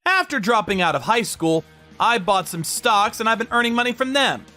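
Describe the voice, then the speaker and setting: A man's voice: high voice, sing-song, normal speed, pushed and bright, faint music behind, pitched above the performer's own voice, exaggerated voice. A man playing an indignant, blunt older brother, a protective factory worker, in a dramatized story. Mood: defiant. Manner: blunt, scolding.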